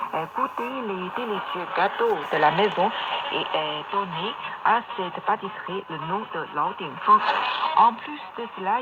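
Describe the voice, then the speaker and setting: A radio presenter reading a scripted introduction to a travel programme over shortwave. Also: medium pitch. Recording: noisy shortwave radio, narrow phone-like band.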